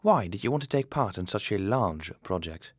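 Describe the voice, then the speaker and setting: Lively-pitched male interviewer, dry sound. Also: moderate pace, slightly rough, no room sound. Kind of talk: scripted interview questions.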